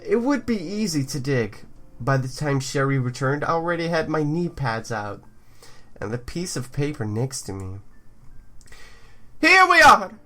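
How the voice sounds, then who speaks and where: A man's voice: mid-range voice, lively intonation, moderate pace. A man hosting a gaming video, reading story narration aloud with casual asides.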